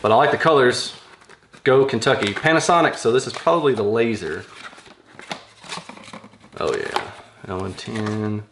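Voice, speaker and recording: Male, 30s, mid-pitched, informal hands-on reviewer thinking aloud; clear handling and crinkle noise behind. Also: measured pace, long pauses. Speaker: product reviewer unboxing audio gear. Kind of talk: casual hands-on unboxing commentary. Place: small indoor workshop room. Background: packaging crinkles, clicks, rustling.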